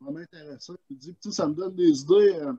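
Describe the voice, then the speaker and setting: A man with a Quebec French accent hosting a casual video call interview, asking halting questions with lots of fillers. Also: fairly high pitch, warm tone, husky. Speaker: host. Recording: muffled lo-fi call audio.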